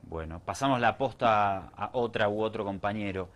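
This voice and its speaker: Low-voiced male interviewer. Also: normal speed. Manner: conversational, probing questions. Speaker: interviewer, show host. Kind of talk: group interview.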